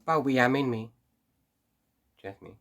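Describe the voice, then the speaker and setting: A man's voice: medium pitch, measured pace, fairly bright tone. A man who hosts a book channel, chatting casually to camera in a rambling way, full of restarts and little laughs, while congested and sniffly from hay fever. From South Africa. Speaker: book vlogger.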